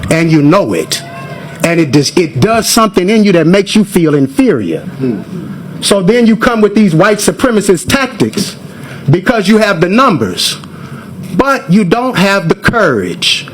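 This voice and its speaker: A man's voice: high voice, big pitch swings, measured pace, a little hiss or hum. A forty-year-old American man giving impassioned public testimony to a committee in an emphatic, confrontational, oratorical manner. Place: government committee hearing room. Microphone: hearing microphone.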